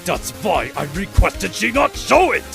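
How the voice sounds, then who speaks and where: A man's voice: high voice, very animated, measured pace, creaky, over music, full character voice. A man playing a haughty, contemptuous prosecutor with a sneering, imperious delivery in an audio drama. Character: haughty, contemptuous, menacing male prosecutor villain. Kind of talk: fan audio drama voice acting.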